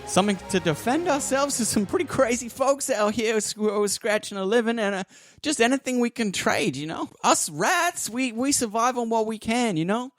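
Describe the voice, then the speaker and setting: A man's voice: very high-pitched, lively intonation, moderate pace, fairly bright tone, dry recording, clean studio sound, voice pushed up. A man voicing a scrappy, brash young street-rat kid in a tabletop roleplay, streetwise and wary, often repeating his words. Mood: brash, cajoling. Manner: stuttering repeats, streetwise slang. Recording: music behind the voice.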